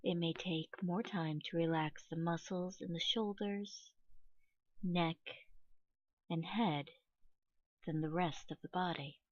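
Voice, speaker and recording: A woman reading a scripted guided meditation in a measured, instructional way, pausing between phrases. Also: fairly low pitch, fairly even intonation, no echo, dull audio.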